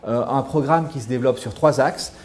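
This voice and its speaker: A man explaining a school's programs in a measured way, with frequent hesitations. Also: medium pitch, darkish tone. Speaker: school representative. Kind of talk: promotional interview.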